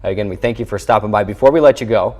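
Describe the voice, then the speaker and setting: A man's voice: on the low side, racing, a little mellow. An American man hosting a sports show, interviewing and presenting in a broadcast style. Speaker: sports show host and play-by-play voice.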